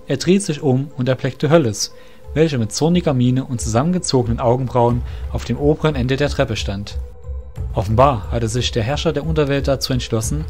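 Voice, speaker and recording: Male fantasy narrator over soft music. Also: mid-range voice. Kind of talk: scripted fantasy audio drama narration. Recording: music under the voice.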